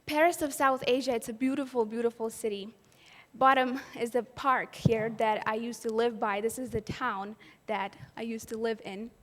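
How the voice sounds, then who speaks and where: A woman's voice: fairly high pitch, fairly even intonation, normal speed, a bit bright. A woman from Pakistan giving an earnest personal storytelling talk at an event, posing rhetorical questions to the audience. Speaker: event speaker. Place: event hall. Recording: long hall reverb.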